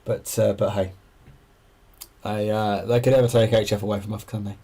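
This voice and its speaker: A man's voice: lowish voice, flat delivery, moderate pace, dark tone, breathy edge. A man hosting an amateur radio livestream, chatting casually and thinking aloud as he explains things and answers viewers.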